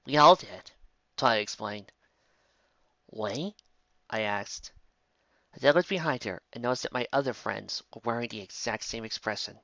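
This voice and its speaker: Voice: medium pitch, a little fast, creaky, fairly bright tone, dry recording, lo-fi sound. A reader narrating a fan-written pony story in the first person, plainly and steadily, with small stumbles.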